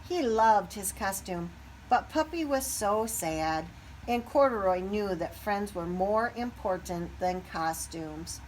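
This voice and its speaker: A woman reading a children's picture book aloud expressively, pausing to put questions to young listeners. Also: mid-range voice, animated, a little hiss or hum, poor audio.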